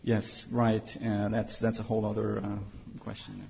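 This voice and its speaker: A man's voice: fairly low pitch, completely flat delivery, moderate pace, creaky, lo-fi sound. A man giving a conference panel talk, then answering a question, with many fillers and restarts. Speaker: panel speaker from an international agency. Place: conference hall.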